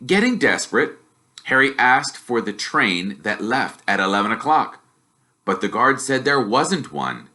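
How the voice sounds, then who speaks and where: A man reading a story aloud as expressive scripted narration. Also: mid-range voice, measured pace. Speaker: storybook reader.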